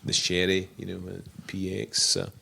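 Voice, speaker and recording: Brief: husky distillery manager, middle-aged man, very low gravelly voice, halting and thoughtful. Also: completely flat delivery, bright, forceful tone, a little hiss or hum. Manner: thoughtful, halting, many restarts. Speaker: distillery manager, podcast guest. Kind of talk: relaxed interview talk about whisky making.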